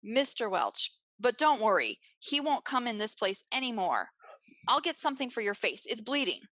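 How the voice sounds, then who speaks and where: A woman's voice: fairly high pitch, big pitch swings, moderate pace, a bit bright, dry recording, clean studio sound. A woman playing an anxious, protective and loyal bar keeper in an old-time radio play. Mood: concerned.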